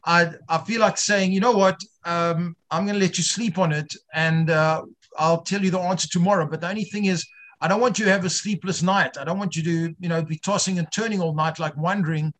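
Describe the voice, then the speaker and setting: A man with a fairly high voice leads an informal online class. He talks haltingly, repeats himself and builds his points through questions to the students. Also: fairly even intonation, a bit bright. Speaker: teacher of an online religious class.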